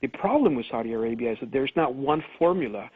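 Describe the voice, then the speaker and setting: A man's voice: mid-range voice, lively intonation, fast, slightly rough. A man, a criminology professor, giving confident, explanatory commentary as a radio guest. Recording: tinny phone line.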